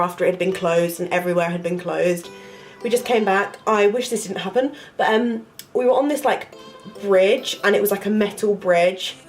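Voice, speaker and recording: A young woman chatting to camera, her voice nasal from a cold, rambling with lots of fillers. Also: on the high side. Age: young adult. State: congested, nasal from flu. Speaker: vlogger, university student. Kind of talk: casual storytelling to camera.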